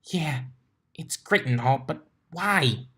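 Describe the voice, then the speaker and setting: A fretful young mare of a scholar, voiced by a man, pitched up above ordinary male talk. She explains her magical troubles with exasperation and worry, as a character in a storybook reading. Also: expressive, slow, a bit bright, breathy edge, exaggerated voice. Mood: irritated. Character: anxious, frustrated young female pony scholar with magic.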